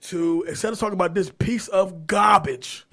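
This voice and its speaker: A man co-hosting a podcast, chatting casually in slangy, animated talk and repeating phrases for emphasis. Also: high voice, normal speed, creaky. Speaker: podcast co-host. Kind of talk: casual pop-culture chat.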